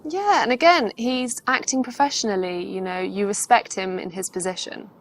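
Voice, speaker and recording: A woman's voice: mid-range voice, normal speed, slight background noise. A woman co-presenting, giving casual explanatory commentary in a conversational way.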